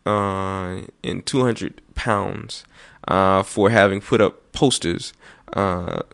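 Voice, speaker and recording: A man hosting a call-in radio talk show, speaking with frequent pauses and restarts, slowing down to read out phone numbers. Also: sits low, vocal fry, fairly bright tone. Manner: many fillers and restarts, slow over numbers. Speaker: radio host.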